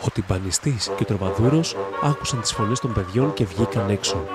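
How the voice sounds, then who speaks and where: Man narrating over loud music. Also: on the low side, animated. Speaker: storybook narrator. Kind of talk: scripted fairy-tale narration. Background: loud instrumental music.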